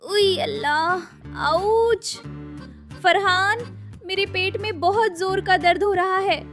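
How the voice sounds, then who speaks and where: A woman's voice: extremely high pitch, takes it slowly, a bit bright, soft background music, exaggerated voice. A woman voicing an overwhelmed, sassy young daughter-in-law in a Hindi cartoon story. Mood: whining in pain.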